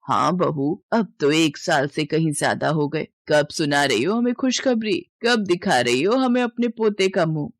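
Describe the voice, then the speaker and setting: A woman's voice: deep voice, expressive, unhurried, few pauses, no echo. An older mother-in-law in a family drama story, voiced by a woman, nagging and insistent. The recording is dry and free of room sound. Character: scheming older mother-in-law pressing for a grandson.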